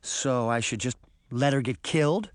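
A man's voice: mid-range voice, normal speed, dry recording, studio quality, natural voice. A man voicing a girl in a storybook, a protective and exasperated older sister who worries and scolds. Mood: bitter.

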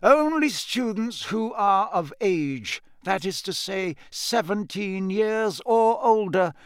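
A man playing an elderly, kindly and authoritative wizard headmaster giving a formal, measured address in a storybook reading. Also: high-pitched, expressive, smooth, dry recording, studio quality, voice pushed up. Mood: grave, authoritative.

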